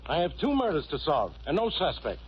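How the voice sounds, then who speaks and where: An actor playing a gruff, sarcastic, suspicious police inspector in 1940s radio drama style. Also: male voice, on the high side, a little fast. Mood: suspicious, needling. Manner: blustering, sarcastic. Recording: muffled old radio recording, steady hiss.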